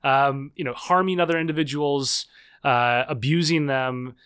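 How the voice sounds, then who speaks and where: A man's voice: medium pitch, fairly even intonation, moderate pace, fairly bright tone, clean tone, no room sound. Man, academic guest, earnest, articulate, dry close recording. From United States. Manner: articulate, earnest, frequent "uh" and "you know". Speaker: author and political scientist guest. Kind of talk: interview answers.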